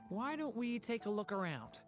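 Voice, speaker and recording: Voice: medium pitch, very animated, normal speed, lightly acted. A performer voicing a sweet, naive little dragon in a video game, innocent and eager in manner. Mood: earnest. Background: music.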